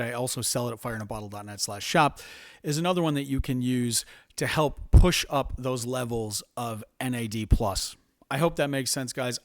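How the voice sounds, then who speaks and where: An American man giving an informal, explanatory health presentation in a measured, methodical way. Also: mid-range voice, no echo, clean studio sound. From United States.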